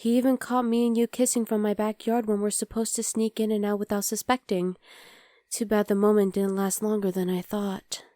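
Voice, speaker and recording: A woman playing an affectionate, playful young demon girlfriend in an intimate ASMR roleplay, speaking softly to the listener. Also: medium pitch, level tone, a little fast, no echo, studio quality, close to an everyday voice. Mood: fond, teasing.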